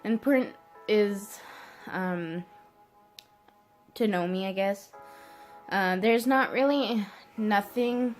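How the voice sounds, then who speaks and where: Slow, hesitant young woman. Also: mid-range voice, faint music behind. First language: French. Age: early 20s. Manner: hesitant, halting. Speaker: vlogger. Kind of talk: casual personal vlog to camera.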